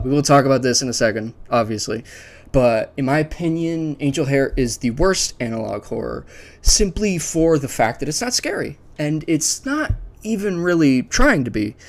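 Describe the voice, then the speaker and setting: A man's voice: mid-range voice, expressive, normal speed. A man hosting a ranking video in a casual, unscripted way, thinking aloud conversationally and sharing his opinions. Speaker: video host ranking a series list.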